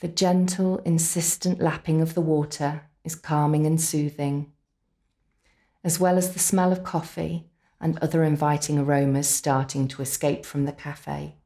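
A woman narrating a scripted guided visualisation in an unhurried, soothing way, with deliberate pauses. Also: low voice, flat delivery, warm tone. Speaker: complementary therapist leading guided relaxation.

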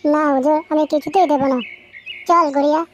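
A performer voicing a stubborn, pleading young village boy in an animated cartoon story. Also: high-pitched, a little fast, slight background noise, lightly acted. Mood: defiant pleading.

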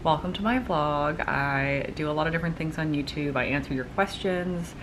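A young woman with a deep, slightly stuffy voice chats casually to the camera in an upbeat, rambling way. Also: normal speed, noisy background. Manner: chatty, upbeat, rambling with fillers. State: stuffy nose. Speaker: vlogger and entrepreneur. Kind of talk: casual vlog chat to camera. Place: living room by a window.

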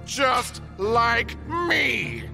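Mad male cartoon doctor, dim-witted villain, high, bombastic, slow theatrical delivery. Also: animated, gravelly, music in the background, exaggerated voice. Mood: menacing, gloating. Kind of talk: animated comedy game acting.

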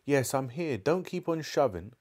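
A man voicing a brisk, bossy, excitable young English girl in a storybook, urgent and exclaiming. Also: mid-range voice, voice pushed up, close to an everyday voice. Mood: irritated.

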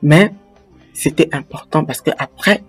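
Husky-voiced woman teaching to a webcam. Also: deep voice, a little slow, soft background music. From Congo. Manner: direct, addresses viewer with many questions. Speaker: life coach teaching farming. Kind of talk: casual video lesson. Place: large indoor office room. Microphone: webcam at desk.

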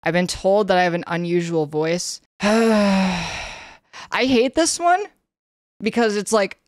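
A young Canadian woman on a casual livestream, reading questionnaire items aloud and answering in a flat, slightly monotone way, full of "like" and "um.". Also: medium pitch, takes it slowly, dry recording, studio quality. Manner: flat, slightly monotone delivery with frequent fillers. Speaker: streamer. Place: indoor room, streaming setup.

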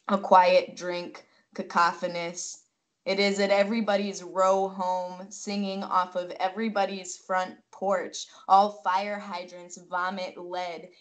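Slow, measured woman reading poetry. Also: lowish voice. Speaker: poetry reader. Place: indoors at home, on a video call. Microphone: video call.

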